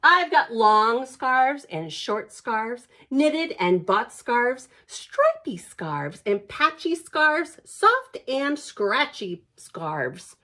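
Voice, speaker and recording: A woman's voice: on the high side, very animated, drawn-out words, few pauses, sharp tone, heavily acted. A woman voicing an eager, pestering little puffin in a children's storybook, wheedling in short bursts. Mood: pleased.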